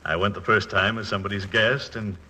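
An actor playing a crusty, hearty older college trustee, gruff and impatient, in a 1940s radio comedy. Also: male voice, lowish voice, steady tone, fairly quick, sharp tone. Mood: jovially gallant. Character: blustery, crusty, jovial old college trustee. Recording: faintly noisy old 1940s radio recording.

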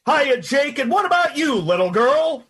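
High-voiced, folksy old Santa Claus. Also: male voice, sing-song, moderate pace, a bit bright, exaggerated voice. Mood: jolly, hearty. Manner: folksy, hearty showman. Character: jolly, weary old department store Santa Claus. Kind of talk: old-time radio drama acting.

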